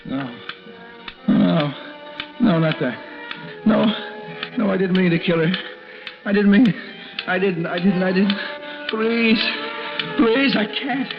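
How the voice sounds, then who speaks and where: A man's voice: sits high, takes it slowly, long pauses. An actor playing a troubled, confused married man haunted by bad dreams, in 1940s radio style. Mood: anguished pleading. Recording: muffled vintage radio recording with music.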